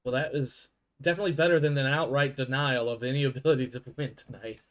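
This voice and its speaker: A man hosting an in-character sports league show, animated and quick to correct himself with asides. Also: medium pitch, highs cut off. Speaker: show host.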